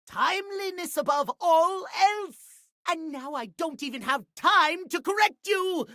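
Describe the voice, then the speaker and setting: A man voicing a prim, condescending, punctuality-obsessed gentleman in a video game, speaking in pompous, clipped, old-fashioned phrases. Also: extremely high pitch, measured pace, dry recording, studio quality, full character voice. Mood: haughty, dismissive. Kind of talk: video game character voice acting.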